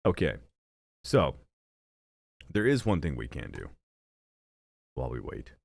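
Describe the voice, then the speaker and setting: A young man with a deep voice that swings widely, chatting on a live stream. He rambles and mocks himself, and he sounds stuffy from a blocked nose and hiccups. The recording is close and dry. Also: measured pace, creaky, no room sound. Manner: rambling, self-mocking, animated with sudden jumps in pitch. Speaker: streamer and gaming channel host. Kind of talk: casual live-stream chat.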